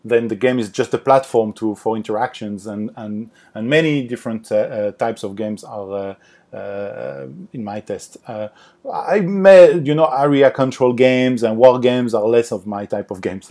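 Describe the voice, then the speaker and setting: A man's voice: medium pitch, normal speed, darkish tone. French guy on a podcast, accent, lots of uh and restarts, medium voice. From France. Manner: hesitant, many fillers and restarts. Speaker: game designer guest. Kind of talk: podcast interview.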